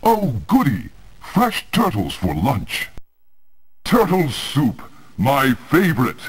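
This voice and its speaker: An actor voicing a menacing, gloating villain monster in a 1990s arcade game, speaking very deep and slow. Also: male voice, wildly swooping pitch.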